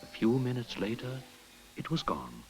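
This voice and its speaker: A man narrating a folktale in an expressive storytelling manner, sometimes dropping to a whisper. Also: medium pitch, moderate pace, low-quality recording. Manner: expressive storytelling, drops to a whisper at hushed moments. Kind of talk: storybook narration over music. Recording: narration with clear music behind.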